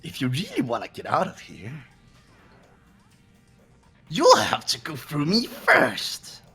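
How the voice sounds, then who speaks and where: A performer voicing a sadistic, gleeful robot bear headmaster in a game, with a raspy cartoonish voice and a theatrical, taunting manner. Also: medium pitch, huge pitch swings, slow, sharp tone, faint music behind, heavily acted. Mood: mock heroic. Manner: theatrical, mock-innocent, swooping, taunting. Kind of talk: fan voiced visual novel playthrough.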